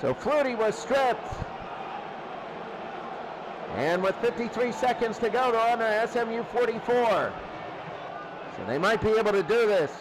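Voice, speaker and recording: A man's voice: extremely high pitch, expressive, a little slow, pauses often. A man doing play-by-play radio-style football commentary in short, clipped, repeated phrases. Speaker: play-by-play sports announcer. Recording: noisy recording.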